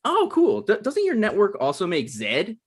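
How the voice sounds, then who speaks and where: A man's voice: high voice, wildly swooping pitch, moderate pace, pitched above the performer's own voice, exaggerated voice. A man reading the part of an awkward, evasive man in his mid-thirties bluffing through a date in a comedy table read. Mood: awkward, defensive.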